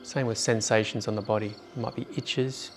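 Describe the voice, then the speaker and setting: Even-toned man guiding meditation over music. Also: fairly low pitch. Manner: informal, unhurried, with long pauses. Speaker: meditation guide. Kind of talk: guided meditation.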